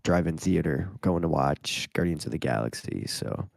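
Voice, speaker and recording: A man's voice: a bass voice, expressive, moderate pace, creaky, no room sound, studio quality. A man from Canada co-hosting a gaming podcast who is losing his voice, easygoing and laughing between phrases. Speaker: podcast co-host. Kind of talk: casual gaming podcast chat.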